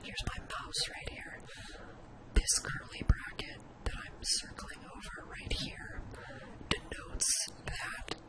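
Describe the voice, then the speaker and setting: A person of indistinct sex whispering a breathy, informal ASMR spreadsheet tutorial, thinking aloud step by step. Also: very high-pitched, slow, poor audio. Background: computer keyboard typing.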